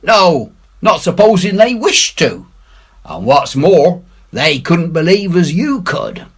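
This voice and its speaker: A man's voice: fairly high pitch, very animated, takes it slowly, slightly rough, voice pushed up, heavily acted. A man voicing a wily, ingratiating old pirate for a storybook, with a deferential, rustic sailor's way of talking. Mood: earnest, ingratiating. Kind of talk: dramatic storybook character reading.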